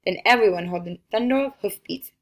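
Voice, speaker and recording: A woman reading a children's picture book aloud as an expressive storyteller. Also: medium pitch, brisk.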